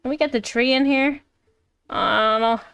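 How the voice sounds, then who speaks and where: A woman's voice: high voice, steady tone, moderate pace, a bit bright, clear, resonant tone. A woman streaming a video game with casual, rambling commentary, playfully repeating her phrases. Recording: game music behind. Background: game music.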